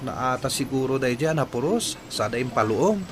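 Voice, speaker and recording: A man's voice: mid-range voice, animated, moderate pace, crowd noise, lightly acted. A man with an Ilocano accent playing a respectful, earnest young farm son in a radio drama.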